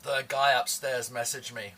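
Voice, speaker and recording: Man, bright tense tone, teasing casual stream chat, somewhat distant mic. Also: mid-range voice, little pitch movement, normal speed. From born in Hong Kong, raised in Australia. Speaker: livestreamer. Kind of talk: casual live-stream chat while eating.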